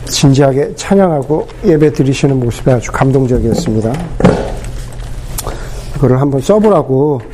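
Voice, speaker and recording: A man preaching a sermon as pastor, his voice hoarse from a cold, talking unhurriedly with many pauses and fillers. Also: mid-range voice, expressive, noisy background.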